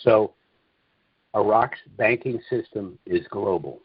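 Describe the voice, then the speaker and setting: Guy with a lowish husky voice talking on a conference call, dull dry lo-fi sound, sure of his opinions. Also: takes it slowly, dry recording. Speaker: conference call host and speaker. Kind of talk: informal monologue commentary on a conference call. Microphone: conference call line.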